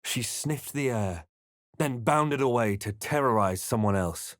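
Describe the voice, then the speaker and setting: A man playing a grave, wary fantasy adventurer, delivering scripted game lines in a measured, dramatic way. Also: medium pitch, animated, fairly bright tone, no echo, studio quality. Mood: grave, intent.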